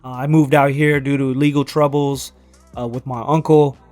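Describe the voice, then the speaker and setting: A man's voice: mid-range voice, flat delivery, normal speed, darkish tone, faint music behind. A man from Texas chatting casually as a podcast guest, slangy and supportive. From Texas, United States. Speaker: podcast guest, co-host of another podcast. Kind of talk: casual chat.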